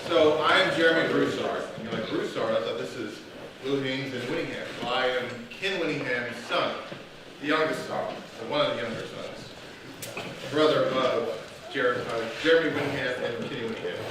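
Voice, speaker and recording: A man's voice: mid-range voice, normal speed. A man giving an informal, joking memorial speech as a grandson at a family gathering. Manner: friendly, self-aware, joking asides. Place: restaurant dining room. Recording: echoey room, distant mic, loud noise.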